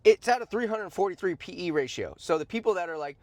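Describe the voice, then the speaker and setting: A man's voice: high-pitched, animated, fast talker, darkish tone, no echo. A man giving informal, opinionated commentary in a blunt, emphatic, conversational way, with slangy asides and points repeated for stress. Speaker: host of a stock commentary show.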